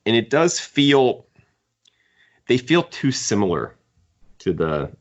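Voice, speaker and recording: A man's voice: medium pitch, very animated, slow pace, dull audio. A man co-hosting a podcast, giving critical opinions in casual book discussion. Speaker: podcast host.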